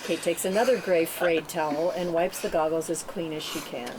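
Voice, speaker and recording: Low-voiced woman narrating, noisy recording. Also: steady tone, moderate pace. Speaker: narrator reading stage directions. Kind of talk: scripted narration at a table read. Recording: clearly noisy room recording.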